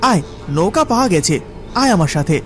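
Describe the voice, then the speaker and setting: A man playing a loyal, knowing young village friend in an animated horror story, speaking with assured explanation. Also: high-pitched, moderate pace, soft background music. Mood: brisk, reassuring.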